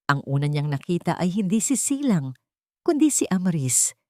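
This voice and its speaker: A woman narrating a scripted story in a melodramatic, deliberate way over music. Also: on the low side, sing-song, dry recording, clean studio sound. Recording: music bed under the voice.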